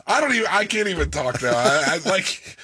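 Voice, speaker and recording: A man in his thirties co-hosting a sports radio show, talking in a booming, animated way and laughing as he argues. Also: fairly quick, slight background noise. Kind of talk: casual sports talk banter.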